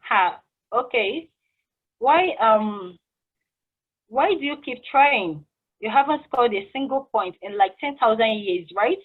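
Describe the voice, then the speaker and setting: A woman's voice: fairly high pitch, animated, slow pace. Woman acting an awkward cashier, phone-like sound. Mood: curious, awkward. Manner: hesitant, informal, conversational. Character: friendly, awkward, curious female drive-through cashier. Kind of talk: casual table read of a stage play. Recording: muffled, phone-like band-limited call audio.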